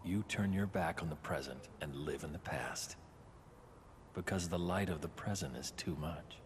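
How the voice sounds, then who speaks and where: An actor playing a calm, menacing villain in a video game cutscene, taunting in a slow, deliberate way. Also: male voice, low-pitched, natural voice. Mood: cold taunting. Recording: long reverb, noisy game ambience.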